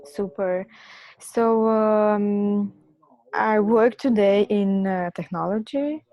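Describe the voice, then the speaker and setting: A woman's voice: medium pitch, slow. A woman, an engineering manager joining a video call, speaking hesitantly and searching for words. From Romania. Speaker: engineering manager, webinar participant. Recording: dull, dry lo-fi video call audio.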